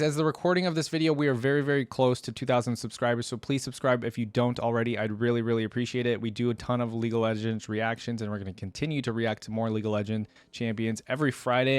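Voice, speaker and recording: A man's voice: medium pitch, smooth, no echo. Male reaction host, mid-range voice, dry recording, casual and unscripted, conversational rambling commentary with asides.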